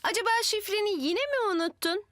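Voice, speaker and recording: A woman's voice: very high voice, animated, a little fast, background noise. A woman playing a friendly, capable builder in a children's cartoon dub. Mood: teasing, friendly. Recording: loud music over the voice.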